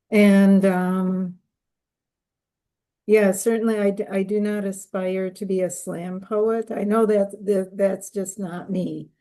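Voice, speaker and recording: A poet, a woman who is hoarse from a cold, speaking hesitantly on a video call and clearing her throat often. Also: medium pitch, fairly even intonation, slow pace, long pauses, mellow. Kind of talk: casual discussion. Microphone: computer mic on a video call.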